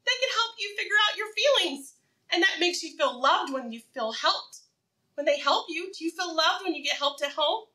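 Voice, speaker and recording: A woman's voice: very high voice, recorded from a distance. A woman teaching preschoolers in a read-aloud style, speaking simply and encouragingly, with repeated phrases and questions to the children. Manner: animated, encouraging, asks questions and pauses for answers.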